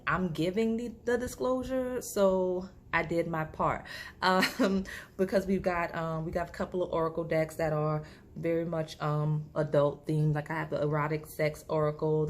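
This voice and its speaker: A woman with a lowish voice, a little hoarse, talks casually through a tarot reading on a desk camera mic. She rambles with plenty of fillers and restarts. A faint hiss sits under the recording. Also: darkish tone. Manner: chatty, filler-heavy, restarts, laughs. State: slightly hoarse. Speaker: tarot reader.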